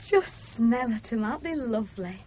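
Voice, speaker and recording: An actress playing a sweet, eager, shy young bride with a Northern English accent in a radio drama, speaking tenderly and gushingly. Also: female voice, a little high, lively intonation, normal speed, dark tone, a little hiss or hum, close to an everyday voice. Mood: delighted. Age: young adult. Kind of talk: 1970s radio drama acting. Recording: muffled 1970s radio broadcast, music behind.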